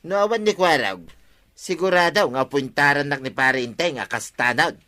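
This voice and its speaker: Brief: comic Ilocano husband, slightly high bright voice, scheming and wheedling, radio drama style. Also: male voice, lively intonation, normal speed. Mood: defensive, teasing.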